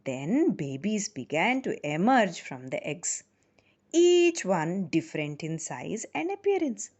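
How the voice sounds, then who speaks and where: A woman narrating a children's bedtime story in a deliberate, theatrical manner. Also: lowish voice, very animated, a little mellow. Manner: deliberate, theatrical, with acted sound effects.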